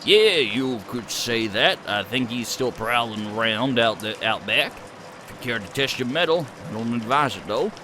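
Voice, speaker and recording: A man's voice: mid-range voice, moderate pace, noisy background, pitched below the performer's own voice, close to an everyday voice. A man voicing a cheerful, folksy male hobo with an easygoing country drawl.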